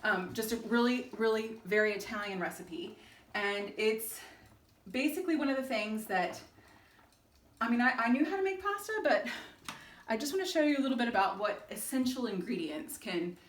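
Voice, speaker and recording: A woman's voice: fairly high pitch, expressive, normal speed. Chatty woman in her forties, echoey kitchen. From Texas, United States. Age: 40s. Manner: chatty and warm, with frequent ums and laughs. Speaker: alumna sharing her story. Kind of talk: casual home video chat. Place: home kitchen in an old English house. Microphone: camera held by her husband.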